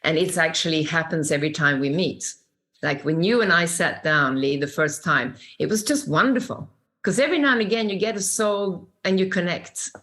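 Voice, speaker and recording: An older woman from Sweden with a Swedish accent, telling her story as an interview guest in a warm, expressive way. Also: on the low side, moderate pace. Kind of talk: reflective conversational storytelling.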